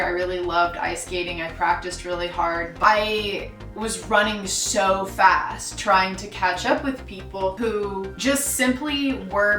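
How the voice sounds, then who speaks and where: Deep-voiced woman, bright and roomy. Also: distant mic. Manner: earnest, reflective, many "like" fillers. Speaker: sewing vlogger. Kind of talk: casual personal storytelling to camera.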